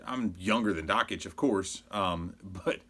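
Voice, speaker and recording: A man in his forties with an American accent and a slightly low voice talks casually, like a sports blogger hosting his own show. He rambles conversationally through his opinions and sounds exasperated. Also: measured pace, pushed and bright. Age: 40s.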